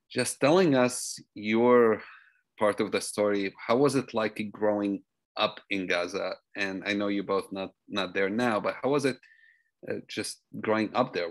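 A man's voice: lowish voice, no room sound. A man in his thirties moderating an online panel, introducing a guest with informal asides, speaking over a laptop webcam from a room with bookshelves. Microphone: laptop webcam on a video call.

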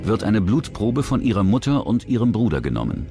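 Deep-voiced male true-crime narrator. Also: sing-song, brisk. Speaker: documentary narrator. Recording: music under the voice. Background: background music.

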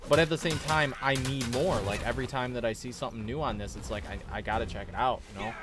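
A young man giving a casual, halting, slangy reaction commentary as a gaming YouTuber at a desk indoors. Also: mid-range voice, over music. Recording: clear background noise of game ambience.

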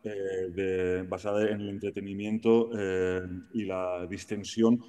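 Low, dark-voiced man on a video call. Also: level tone. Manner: deliberate, frequent fillers. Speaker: panel speaker joining remotely. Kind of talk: measured panel talk. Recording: video call played into a reverberant hall.

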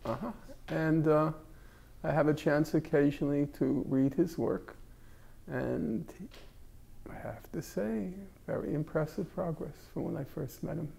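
An older man leads a class in a library room, his voice gravelly and a little husky. He jokes wryly and pokes fun at himself. He is close on a lapel mic. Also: on the high side, unhurried, mellow. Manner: wry, joking, self-mocking. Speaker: class instructor. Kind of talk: casual classroom talk.

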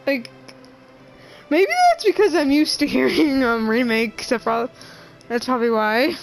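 A young woman with a fairly high voice chats casually over a game. She rambles in an excitable, giggly way, with faint game music behind her. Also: moderate pace, vocal fry, rough recording. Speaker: gamer. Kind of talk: casual chat over gameplay.

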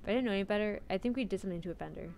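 A woman's voice: medium pitch, rapid-fire, gravelly, a little mellow, a little hiss or hum. A woman streamer reading a visual novel's narration aloud into a close mic.

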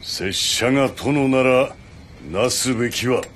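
A man's voice: mid-range voice, slow, a bit bright, slight background noise. A man voicing a stern, loyal samurai general in a game, with solemn, terse, archaic warrior speech. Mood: grave, resolute. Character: stern, stoic, mighty samurai general, loyal unto death. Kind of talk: dramatic Japanese game voice acting. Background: music.

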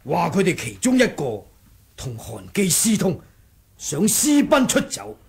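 Cunning martial monk for 1980s radio drama: rather high, lively pitch, deliberate and sly, husky. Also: male voice, heavily acted. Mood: calm scheming. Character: cunning martial monk who feigned muteness, scheming and composed.

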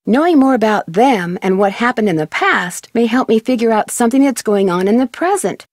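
A woman's voice: fairly high pitch, expressive, a little fast, a little mellow, dry recording. A woman playing a polite, earnest young amateur detective in a video game, speaking plainly. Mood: earnest, curious.

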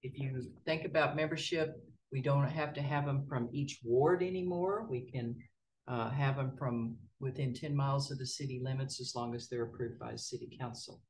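A board chair running a city meeting in a folksy, relaxed way, with many filler words. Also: medium pitch, normal speed, slight background noise. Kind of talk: formal meeting procedure mixed with casual remarks. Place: city council chamber. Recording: distant mic in a meeting room.

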